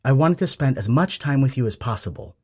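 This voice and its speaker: A man's voice: medium pitch, expressive, brisk, darkish tone, slightly rough, voice pushed up. A man voicing a prim, passive-aggressive middle-aged mother in an audiobook. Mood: reproachful. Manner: prim, reproachful.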